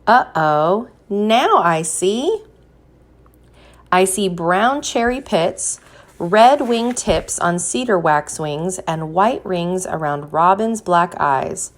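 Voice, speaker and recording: A woman reading a picture book aloud like a teacher, pausing to put questions to young listeners and encourage them. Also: lowish voice, expressive. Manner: slow, deliberate, encouraging prompts.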